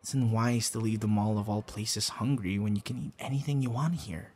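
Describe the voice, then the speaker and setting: A man's voice: lowish voice, steady tone, brisk, slightly rough. Man acting a hesitant young boyfriend in audio roleplay. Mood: pleading, tender. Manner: conversational, hesitant, with stammers and soft asides.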